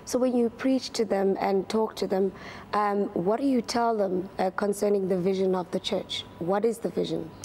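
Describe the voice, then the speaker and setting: Polite TV host. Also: female voice, medium pitch, fairly even intonation, normal speed, mellow, slight background noise, rough recording. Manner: polite, direct questioning. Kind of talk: studio interview questions. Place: TV studio. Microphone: lapel.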